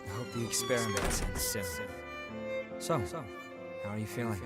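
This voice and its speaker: Calm, earnest young leader, music behind. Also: male voice, on the low side. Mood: subdued, concerned. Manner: measured, earnest, reserved. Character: calm, earnest young male revenant leader, quietly burdened. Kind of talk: restrained video game dramatic acting. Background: string game score.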